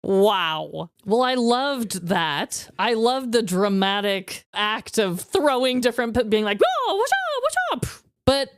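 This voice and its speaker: Female podcast co-host, fairly high voice with very lively intonation, dry close recording, casual and expressive. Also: slow, few pauses, no echo. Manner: expressive, reactive.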